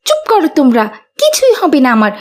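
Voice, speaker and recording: Woman doing a stubborn little girl, high voice, whiny, defiant, very animated, cartoon. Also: fast talker, heavily acted. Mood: annoyed, defiant. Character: headstrong, vain, petulant little schoolgirl. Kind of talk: Bengali moral cartoon voice acting.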